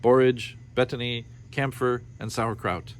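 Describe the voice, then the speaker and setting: A man reading a storybook aloud with expressive narration. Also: medium pitch, measured pace, a little hiss or hum. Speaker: storybook reader.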